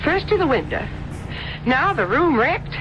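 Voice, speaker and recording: Old radio mystery, dull sound over car noise, fussy plainspoken innkeeper wife, voice goes up and down. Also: female voice, high-pitched, very animated, a little slow. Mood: worried. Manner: folksy, scolding. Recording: muffled old radio broadcast under engine noise.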